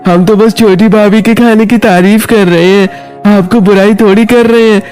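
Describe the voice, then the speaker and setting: A man's voice: sits high, steady tone, moderate pace, darkish tone, soft background music, lightly acted. A man voicing a cheeky, cheerful younger in-law in a Hindi moral story, breezy and teasing.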